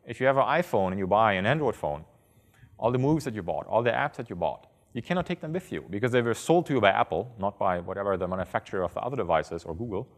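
A man in his thirties giving a conference keynote on stage, talking fast and earnestly, often restarting by repeating a word. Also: fairly low pitch, expressive. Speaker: keynote speaker. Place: large conference hall on stage. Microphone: headset. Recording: reverberant hall.

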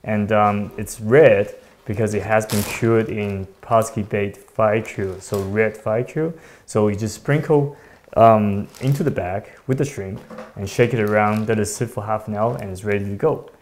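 A man in his thirties hosting a fishing show, explaining gear in a relaxed, hesitant way with many ums, often trailing off softly. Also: on the low side, a little slow, slight background noise. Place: bright indoor studio at a table.

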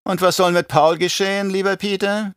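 A man's voice: sits high, fairly even intonation, moderate pace, a bit bright, clean tone, dry recording, studio quality, faint music behind, pitched above the performer's own voice. A man playing a scheming, imperious older baron in an audiobook, with a mocking, condescending, theatrical delivery. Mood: silky, needling. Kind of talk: audiobook character acting.

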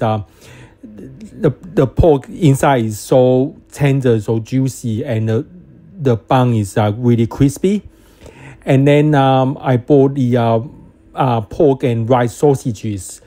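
A man's voice: medium pitch, warm tone. A man casually reviewing food in a vlog, with many fillers and hesitations and eager praise for each dish. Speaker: food vlogger. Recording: street noise behind.

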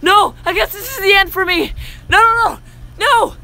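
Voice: extremely high pitch, moderate pace, gravelly, sharp tone, slight background noise. A young-sounding voice acting out informal, repetitive, exclamatory dialogue for a homemade game roleplay. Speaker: voice actor in a self-made Minecraft roleplay. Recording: music behind the voice.

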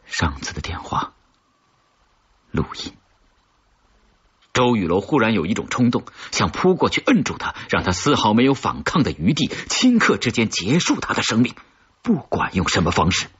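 Low, very husky man narrating suspense. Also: sing-song, moderate pace, a bit bright, dull audio. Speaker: audiobook narrator. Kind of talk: scripted suspense story narration.

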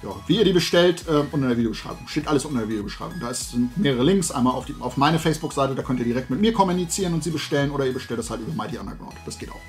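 A man's voice: mid-range voice, expressive, racing, few pauses, faint music behind. A middle-aged man hosting a show, chatting casually and colloquially to camera in a rambling way. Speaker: host of a toy and comics show. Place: indoor room lined with collectibles.

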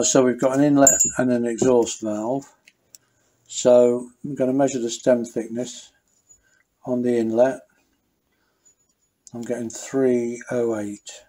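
A man's voice: mid-range voice, low-quality recording. Male mechanic, mid-range voice, casual workshop commentary with frequent restarts. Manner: thinking aloud, relaxed, with many fillers and restarts. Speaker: motorcycle mechanic.

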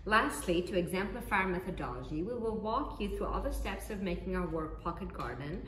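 Crisp woman from Hong Kong, roomy studio. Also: mid-range voice, animated, fairly quick, slight background noise. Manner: crisp, scripted delivery. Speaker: ceramic artist. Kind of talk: promotional self-introduction. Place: large open studio. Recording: roomy studio sound with faint ambience.

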